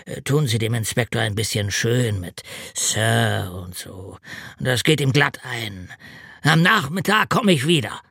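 A man's voice: mid-range voice, lively intonation, measured pace, gravelly, bright, forceful tone, no echo, studio quality, full character voice. A man voicing a drunk, sick older road worker who groans, wails and complains in slurred speech. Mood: relieved. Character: hungover, whining older male road worker.

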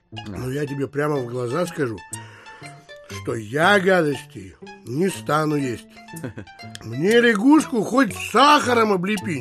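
An actor playing a blunt, gluttonous landowner who grumbles scornfully and emphatically in an audio play. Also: male voice, fairly high pitch, fairly bright tone. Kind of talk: audio play acting of a literary classic.

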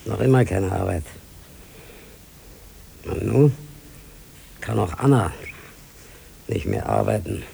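Low, gravelly, weary jobless older man. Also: lively intonation, mellow, exaggerated voice. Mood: brooding. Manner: brooding, repetitive, halting monologue. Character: weary, desperate older unemployed man seeking work. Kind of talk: German radio play acting. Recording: noisy old radio play recording.

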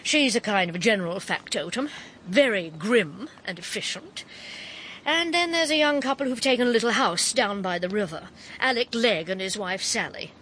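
Woman acting gossipy posh english lady novelist, wry and brisk, voice swoops about, faint noise behind. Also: mid-range voice, animated, fairly bright tone, close to an everyday voice, rough recording. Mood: chatty, enthusiastic. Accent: upper-class English. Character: chatty, scatty, good-humoured English lady crime novelist. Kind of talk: radio drama acting.

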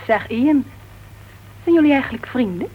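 A woman's voice: high voice, animated, normal speed. An actress playing an outspoken, sociable woman crime writer in a Dutch radio play. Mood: earnest, opinionated. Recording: dull, noisy old radio recording.

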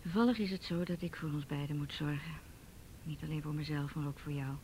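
A low-pitched woman, played by an actress in a radio drama, guarded and weary. She speaks in curt, reserved phrases. There is faint noise on the recording. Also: fairly even intonation, fairly quick, darkish tone, close to an everyday voice, low-quality recording. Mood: irritated, guarded. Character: guarded, weary woman providing for younger dependant. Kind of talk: naturalistic Dutch radio drama acting.

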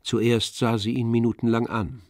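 An actor narrating a literary radio play in a measured, composed storytelling manner. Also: male voice, medium pitch, flat delivery, darkish tone, no echo, close to an everyday voice. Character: composed male literary narrator of a tragic novel. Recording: dry studio, music underneath.

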